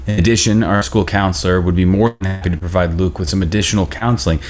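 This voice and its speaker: An actor reading a male school administrator at a table read, polite and measured. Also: low voice, steady tone, slight background noise, close to an everyday voice. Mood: diplomatic.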